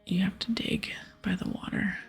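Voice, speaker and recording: Female ASMR gaming host: very breathy, hushed, some fry, relaxed and unhurried murmur. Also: medium pitch, faint music behind. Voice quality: soft, breathy whisper. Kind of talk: whispered ASMR game playthrough, reading dialogue aloud.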